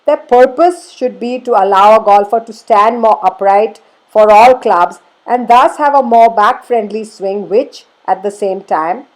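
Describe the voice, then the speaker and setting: A woman giving a scripted explanatory narration as a golf instructor, in a formal, measured manner with rhetorical questions. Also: mid-range voice, low-quality recording.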